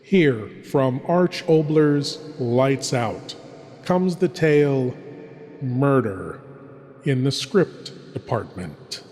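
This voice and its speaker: A man delivers theatrical horror-host narration at a slow, deliberate pace. His voice rings in a long hall reverb, with dramatic phrasing as he presents vintage radio chills. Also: mid-range voice, expressive, darkish tone. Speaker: host of an old-time radio horror show.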